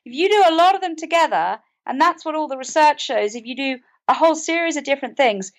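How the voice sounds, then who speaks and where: A British woman with a high-pitched voice talks as a guest in an interview. She explains things earnestly, in a conversational style. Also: lively intonation, fast. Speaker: podcast guest, author and mental health advocate.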